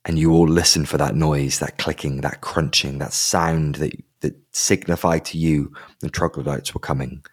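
A man narrating a tabletop role-playing game as game master, in slow, dramatic descriptive passages. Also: rumbling low, steady tone, slightly rough.

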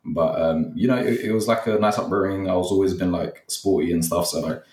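Deep-voiced young man rambling in an echoey room. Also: fairly even intonation, fairly quick, darkish tone, distant mic. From Nepal. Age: young adult. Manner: rambling, many fillers, hesitant. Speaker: guest, content creator. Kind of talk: casual interview chat. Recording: echoey, from off the mic.

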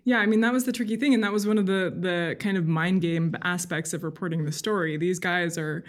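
A woman speaking as a journalist guest in a casual interview, thoughtful and halting, often breaking off into asides. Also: lowish voice, steady tone.

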